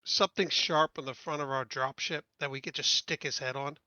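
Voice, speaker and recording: A man's voice: a little high, fairly even intonation, a little fast, pushed and bright, no room sound, highs cut off. A man playing a tabletop game, bantering casually in a blunt, sarcastic way.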